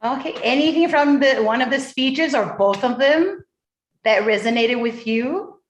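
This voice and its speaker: Woman moderator, slightly high voice, slow chatty delivery, echoey room. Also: animated, a little hiss or hum. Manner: encouraging, chatty, drawn-out delivery. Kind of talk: online forum moderation. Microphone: video call.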